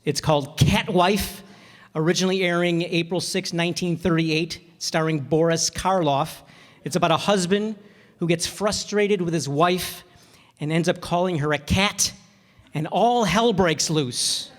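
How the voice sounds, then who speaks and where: Male director, notably high voice, casual and self-mocking, live hall reverb with light audience sound. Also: measured pace. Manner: joking, self-deprecating. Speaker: director introducing next month's shows. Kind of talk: casual live stage talk.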